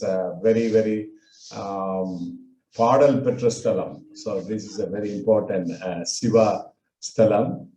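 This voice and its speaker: Older Tamil-accented man, halting. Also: mid-range voice, dark tone, echoey room, a little hiss or hum, low-quality recording. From India. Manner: halting, with frequent fillers and restarts. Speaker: speaker recounting his life. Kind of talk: personal talk with slides.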